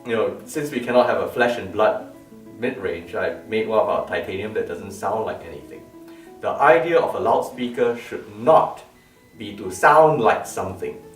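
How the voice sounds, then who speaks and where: A man's voice: fairly low pitch, moderate pace. A man giving an informal product talk as a designer, explaining emphatically with repeated words and rhetorical questions, recorded in a room. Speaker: loudspeaker designer presenting his product line. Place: medium-sized living-room-like room indoors. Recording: roomy, distant mic with music behind. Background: plucked lute music.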